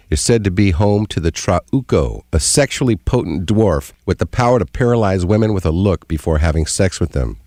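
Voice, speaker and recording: A man's voice: deep voice, darkish tone. A man co-hosting a show, reading scripted narration with comic banter. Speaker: co-host.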